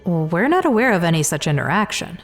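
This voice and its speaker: An earnest female scientist in a sci-fi audio thriller, probing and curious. Her pitch is a little low but moves in lively swings, over background music. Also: a little fast. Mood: puzzled. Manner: inquisitive, questioning. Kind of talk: scripted sci-fi audio drama.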